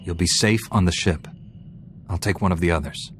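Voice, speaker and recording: An actor playing a confident, defiant Jedi warrior in a video game, blunt and confrontational. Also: male voice, sits low, moderate pace, a bit bright, faint music behind. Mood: resolute. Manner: blunt, confrontational, self-assured. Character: confident, defiant young male Jedi warrior turning dark. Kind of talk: cinematic video game acting.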